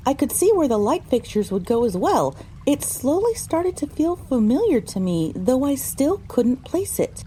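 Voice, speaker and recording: High, mellow female narrator. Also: sing-song, normal speed, hardly any pauses, a little hiss or hum, low-quality recording. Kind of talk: scripted audio drama narration, first person.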